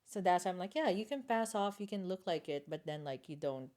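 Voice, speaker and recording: A woman with a Filipino accent talks in a casual chat. Her pitch swings widely as she speaks emphatically and exclaims her points, like a stand-up comic telling a story. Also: expressive, a little fast, a little mellow.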